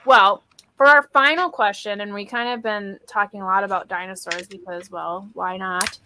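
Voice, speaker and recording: Female host for an informal book-club live chat, clearly bright tone. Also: mid-range voice, fairly even intonation, moderate pace, slight background noise. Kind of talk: casual group chat.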